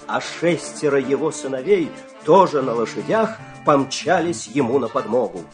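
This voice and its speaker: A man narrating a children's fairy-tale radio play with dramatic, expressive storytelling delivery. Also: mid-range voice, moderate pace, music in the background.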